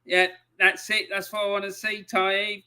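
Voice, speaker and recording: A man's voice: high voice, little pitch movement, normal speed, bright, forceful tone, clear, resonant tone. A man hosting a casual interview podcast, reading out viewer comments in a chatty way with lots of fillers. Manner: chatty, laughs often, filler-heavy. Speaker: podcast host.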